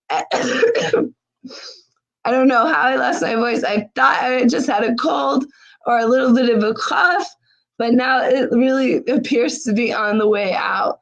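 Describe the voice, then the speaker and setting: Woman, livestream host, voice giving out, urgent and exhorting, fairly high-pitched. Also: a little slow, gravelly, rough recording. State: losing her voice. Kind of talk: fundraising livestream talk.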